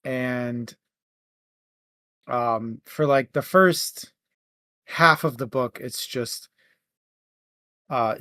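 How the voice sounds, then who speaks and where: A man co-hosting a book club show, discussing casually in a halting, conversational way. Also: mid-range voice, fairly bright tone, no room sound.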